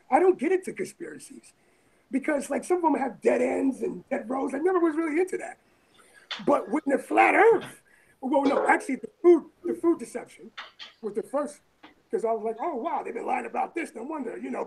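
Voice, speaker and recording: A woman from Brooklyn with a raspy voice, talking in a rambling, emphatic way in an interview, often repeating phrases. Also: sits high, darkish tone, rough recording. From Brooklyn, New York. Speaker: interviewee, former firefighter and EMT. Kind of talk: casual interview talk.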